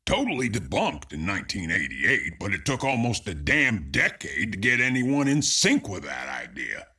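Male co-host, deep and raspy with lively inflection, opinionated casual talk. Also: normal speed. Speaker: podcast co-host. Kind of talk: casual chat.

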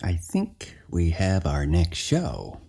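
A man's voice: deep voice, huge pitch swings, slow, gravelly, over loud music. A man hosting a podcast in casual talk, with an exclamatory, wry, theatrical manner. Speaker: podcast host. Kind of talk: casual chat and show introduction.

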